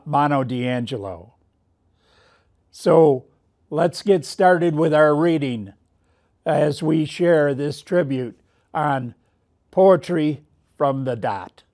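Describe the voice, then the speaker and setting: A man hosting a poetry tribute, speaking in measured, halting phrases as he delivers a memorial. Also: a little high, a little mellow.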